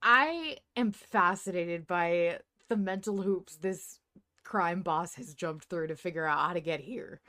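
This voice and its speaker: A woman's voice: on the low side, measured pace, sharp tone. A woman hosting a podcast, talking casually and emphatically in a fan discussion, with frequent swearing. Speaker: podcast host.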